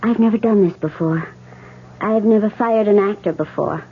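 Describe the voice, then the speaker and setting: An actress playing a poised widow who speaks gently and hesitantly but stays firm, in a 1970s radio drama. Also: female voice, mid-range voice, normal speed, breathy edge. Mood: cool. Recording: tinny lo-fi radio broadcast.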